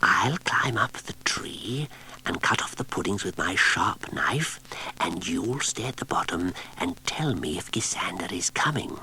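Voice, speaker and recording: A man doing a sneaky spider trickster who plots in a hushed whisper. His voice is low, slow and gravelly. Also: expressive, full character voice, rough recording. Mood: scheming. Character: sly male spider trickster.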